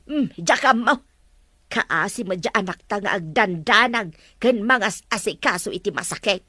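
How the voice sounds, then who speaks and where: A woman's voice: medium pitch, lively intonation, moderate pace, sharp tone, breathy edge, dry recording. A woman with an Ilocano accent acting a concerned, fretting mother in a family drama. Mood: reproachful.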